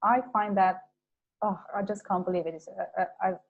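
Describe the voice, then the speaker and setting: A woman's voice: mid-range voice, steady tone, normal speed, creaky, narrow, tinny audio. Enthusiastic woman hosting a webinar. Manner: enthusiastic, gushing. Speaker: webinar host. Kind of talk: introducing a guest speaker.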